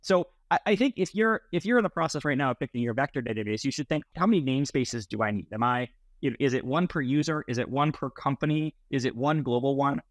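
A man's voice: medium pitch, lively intonation, fast talker, no echo. Man explaining, close dry sound. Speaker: interview guest, software founder. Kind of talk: explanatory technical interview. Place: small plain room indoors, video call. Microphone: earbuds on a video call.

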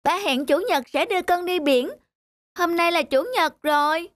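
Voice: extremely high pitch, moderate pace, no echo. A performer voicing an eager, curious, dreamy little boy in a cartoon. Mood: pleading. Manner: bright-eyed, wondering. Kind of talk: animated moral tale dialogue. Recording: music behind the voice.

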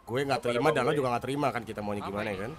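A man's voice: mid-range voice, racing. A man with a colloquial Jakarta Indonesian accent confronting others in game roleplay, repeating himself insistently. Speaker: gamer role-playing a gang member. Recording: game voice chat amid loud crowd.

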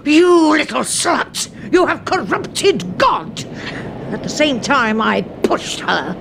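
Obsessive English spinster secretary, swooping voice. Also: female voice, high voice, very animated, slow, gravelly, noisy background, full character voice. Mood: venomous. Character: obsessive, murderous spinster secretary, timid before her boss. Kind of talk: 1970s BBC radio horror drama acting.

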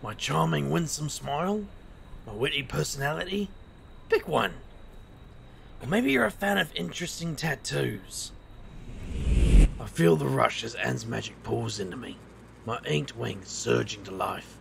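A man acting as a reckless street magician with a very creaky, gravelly voice. He narrates his urban fantasy audio drama with sardonic wit. There is clear noise behind him. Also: mid-range voice, animated, unhurried, long pauses, fairly bright tone, exaggerated voice. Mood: wry, bold. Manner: wry, sardonic. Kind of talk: first-person audio drama narration and dialogue.

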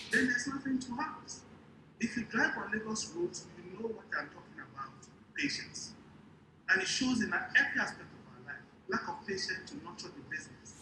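A middle-aged Nigerian man with a high-pitched voice addresses a business panel like a mentor. He explains his points with emphasis and deliberate repetition. He is on a video call from a small, echoey room, with clear noise on the recording. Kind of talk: panel talk to a business convention. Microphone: wired earphones on a video call.

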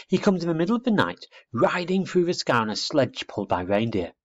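A man acts out a little schoolgirl in a children's story. The voice is lifted high and swoops with emphasis. She is forthright and proud, explaining things like a small know-it-all. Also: very animated, brisk, muffled recording.

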